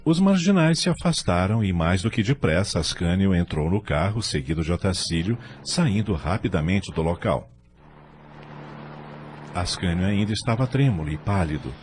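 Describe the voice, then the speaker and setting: A man delivers scripted narration for an audio drama. His voice is low, with lively, varied intonation. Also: moderate pace, over music.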